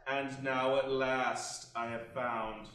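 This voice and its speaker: Prim religious fanatic, very echoey. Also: male voice, medium pitch, little pitch movement, takes it slowly, a bit bright, recorded from a distance. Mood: courteous, solemn. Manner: formal, precise, sermonizing, easily agitated. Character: secretive, fanatically pious gentleman lodger with prim, touchy temper. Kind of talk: old-time radio suspense drama acting.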